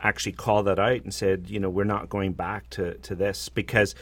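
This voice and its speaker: Co-host, man, lowish voice, earnest and thoughtful, very dry close sound. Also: little pitch movement, a little fast, no echo. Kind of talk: casual radio conversation.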